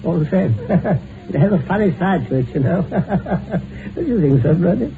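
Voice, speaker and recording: A tinny, noisy old radio recording of a hearty upper-class husband. His voice sits a little high and swings widely in pitch, teasing and full of cruel good humour. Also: animated, measured pace, vocal fry, heavily acted. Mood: mocking amusement. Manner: hearty, mocking, chuckling. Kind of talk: old-time radio drama acting.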